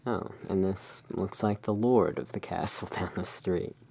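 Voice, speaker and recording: Slow, low, creaky hushed ASMR voice. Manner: hushed, soothing, unhurried. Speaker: host playing a gothic curiosity shop owner. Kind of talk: ASMR roleplay, showing tarot cards.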